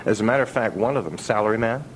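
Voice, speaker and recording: Male interviewee, musician, low register, anecdotal and halting, on a noisy radio aircheck. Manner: halting, pausing, trailing restarts. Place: radio interview with noise behind the voice.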